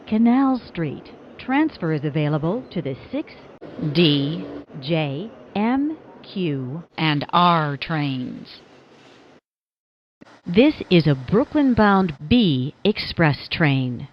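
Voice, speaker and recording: A woman's voice: on the low side, dull audio. A woman reading scripted transit announcements as a train announcer, in formulaic, carefully enunciated phrases that sound spliced together.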